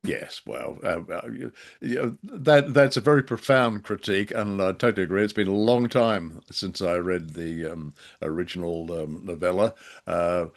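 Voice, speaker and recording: A man co-hosting a podcast, discussing and reviewing in a genial, halting, hesitant way. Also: low voice, creaky. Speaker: podcast co-host.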